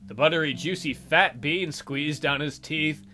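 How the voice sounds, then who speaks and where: A man with a bright, slightly high voice reads a visual novel aloud for a let's play. He is playful and irreverent, dropping in joking asides. Faint guitar music plays behind him. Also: unhurried.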